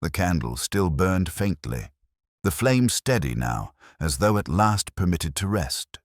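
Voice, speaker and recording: A man reading a scripted first-person detective story in a measured, brooding, reflective way. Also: sits low, very animated, no echo, studio quality. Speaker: narrator.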